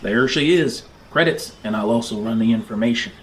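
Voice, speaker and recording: A man's voice: mid-range voice, a little fast, a bit bright, a little hiss or hum, close to an everyday voice. Man playing a terse, scheming alien junk dealer. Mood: businesslike. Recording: background music under the voice.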